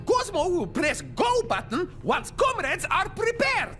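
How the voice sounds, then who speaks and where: Peppy russian cartoon dog, high bouncy voice, broken english, music behind. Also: female voice, huge pitch swings, measured pace, full character voice. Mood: upbeat, earnest. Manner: broken English, formal and upbeat. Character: cheerful Soviet space dog, scrappy and earnest. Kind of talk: video game cutscene acting.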